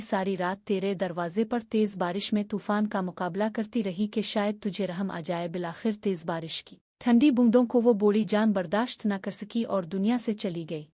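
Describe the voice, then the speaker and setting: A woman acts as a young princess in a cartoon folk tale. Her tone is dark and mellow, the recording close and dry. She declaims with grief and indignant reproach. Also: mid-range voice, no room sound, close to an everyday voice. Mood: bitter reproach. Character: grieving, indignant young princess, once poor. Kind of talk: dramatic storybook cartoon acting.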